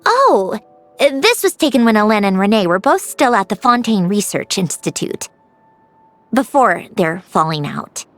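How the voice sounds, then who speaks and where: A woman voicing a composed, proud young mechanical woman in an anime-style video game, with a measured, self-assured and lightly dismissive way of talking. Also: fairly high pitch. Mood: wistful, reflective.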